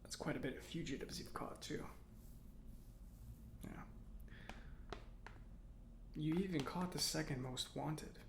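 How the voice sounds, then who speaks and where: A man playing an eccentric, kindly mechanic in a soft-spoken ASMR roleplay, with halting, reassuring murmurs and a breathy voice. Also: on the high side, roomy sound, noisy background. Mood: musing, approving. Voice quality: breathy whispering, creaky. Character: eccentric, giddy, kindly male government robot mechanic.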